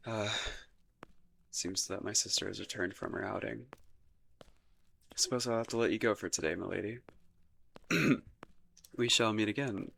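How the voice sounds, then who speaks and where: A man's voice: fairly low pitch, unhurried, vocal fry, pauses often, pushed and bright, breathy edge, exaggerated voice. A young man voicing a shy, awkward crown prince in a romantic audio roleplay, stumbling over his words out of embarrassment. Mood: bashful. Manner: flustered and self-deprecating, stumbling over words. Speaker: ASMR voice actor.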